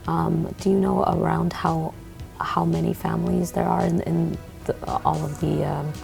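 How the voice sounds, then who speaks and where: A woman's voice: fairly low pitch, level tone, moderate pace, vocal fry, faint music behind. A woman hosting an interview, affirming her guests with frequent mm-hmms. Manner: warmly affirming, lots of mm-hmms. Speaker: show host.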